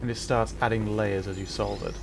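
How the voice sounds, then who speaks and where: A man streaming as a virtual character, giving relaxed, rambling commentary while he plays and answers chat. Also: lowish voice, a little fast, over music. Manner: relaxed, rambling, chuckles often. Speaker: VTuber streamer. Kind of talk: casual gameplay commentary.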